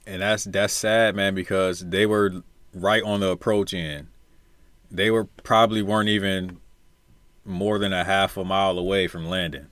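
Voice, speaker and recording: A man's voice: low voice, level tone, normal speed, fairly bright tone, dry recording. An American man giving casual commentary, repeating his phrases for emphasis. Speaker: commentator, former air traffic controller. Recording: noticeable background noise.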